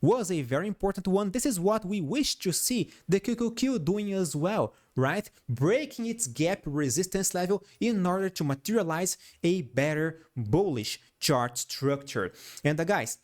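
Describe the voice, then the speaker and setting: A man's voice: high-pitched, normal speed. A Brazilian man with a Portuguese accent, a non-native English speaker hosting stock chart analysis, who often repeats himself and adds "all right?" to his points. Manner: animated, repeats and restarts phrases, frequent "all right?" tag. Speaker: host.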